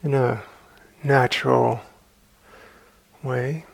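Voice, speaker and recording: A man guiding a meditation as a teacher, speaking calmly and unhurriedly in short phrases with long pauses. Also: mid-range voice, creaky, dry recording, rough recording. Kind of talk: guided meditation.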